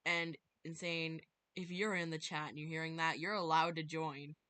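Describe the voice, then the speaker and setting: A woman's voice: sits low, level tone, moderate pace, bright, forceful tone. A woman livestreaming a visual novel, chatting casually in a rambling, dramatic, easily spooked way and sometimes reading the story aloud. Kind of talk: casual game livestream commentary with some read-aloud narration.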